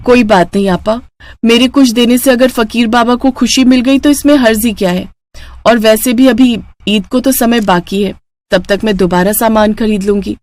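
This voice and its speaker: A woman voicing a kind, humble, pious younger sister and mother in a storybook tale, gentle and earnest. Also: a little high, moderate pace, no room sound, lightly acted.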